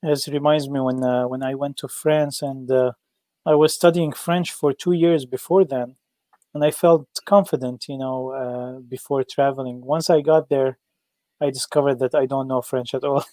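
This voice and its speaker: A man hosting an interview, asking questions haltingly with many fillers, Arabic his first language. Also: medium pitch, steady tone, warm tone, no room sound. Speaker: interview host. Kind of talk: casual interview.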